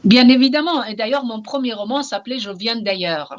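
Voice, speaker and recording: A woman's voice: a little high, dull audio. A middle-aged woman from Iran, speaking French with a Persian accent, talking passionately and reflectively as a novelist in an interview, often repeating phrases for emphasis. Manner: passionate, emphatic, with repetitions and pauses.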